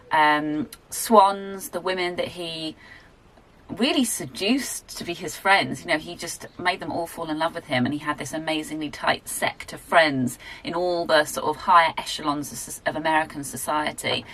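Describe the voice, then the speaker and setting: A woman's voice: low-pitched, fairly bright tone, poor audio. A woman, chatting casually and self-deprecatingly in a book vlog recorded in a garden shed. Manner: rambling, self-deprecating, with fillers and laughs. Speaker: book vlogger. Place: garden shed, rural area.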